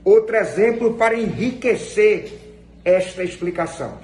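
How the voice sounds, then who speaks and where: High-pitched, slow-paced Brazilian man teaching. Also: animated, darkish tone. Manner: didactic, emphatic, repeats phrases for stress. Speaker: Portuguese grammar teacher. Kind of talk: instructional lesson explained to viewers. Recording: echoey room with faint background noise.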